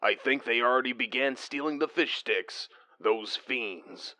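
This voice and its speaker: A man voicing a playful, loving father who puts on a measured, heroic robot-leader voice over a walkie-talkie in an audio story. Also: medium pitch. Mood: playful mock-heroic. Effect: crackly walkie-talkie. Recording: crackly, noisy walkie-talkie line.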